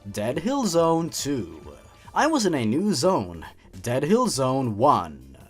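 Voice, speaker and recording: Male online story narrator, sarcastic and highly animated, mock-dramatic delivery over background music. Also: takes it slowly, husky. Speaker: YouTube creepypasta reader and commentator. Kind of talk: scripted commentary and dramatic story reading.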